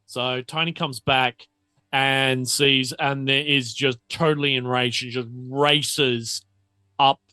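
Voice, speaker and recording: Slow, bright-voiced man running a game. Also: medium pitch, steady tone, clean tone, dry recording. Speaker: game master. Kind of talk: improvised narration of a tabletop roleplaying game.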